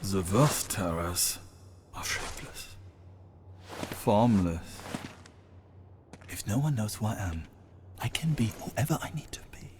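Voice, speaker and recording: Slow, swooping, sadistic villain general. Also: male voice, on the low side, lively intonation, background noise. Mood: menacing, philosophical. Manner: halting, gloating, menacing, given to chuckling. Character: sadistic theatrical Nazi general, a gloating, scheming villain. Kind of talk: video game cutscene acting.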